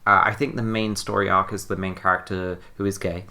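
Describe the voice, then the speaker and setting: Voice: low voice, little pitch movement, brisk, sharp tone. A podcast co-host chatting casually about games in a rambling, hedging way, with lots of filler.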